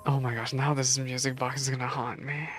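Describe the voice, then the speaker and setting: A woman's voice: rumbling low, level tone, fairly quick. A woman streamer giving casual live reaction commentary on a game. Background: game music and dialogue.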